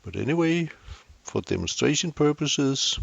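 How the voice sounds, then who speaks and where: A man's voice: a little high, expressive, measured pace, gravelly, no echo, dull audio. An older Danish man with a Danish accent, hosting a camera tutorial with dry, self-deprecating humor. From Denmark. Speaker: tutorial host.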